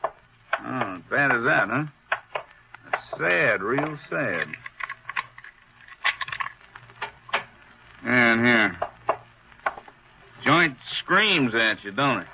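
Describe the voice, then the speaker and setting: A man's voice: mid-range voice, expressive, slow, vocal fry, long pauses, background noise. A man acting a cynical, wisecracking hotel detective in 1940s radio style, sardonic, slangy and needling. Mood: casually cynical. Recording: muffled vintage radio recording.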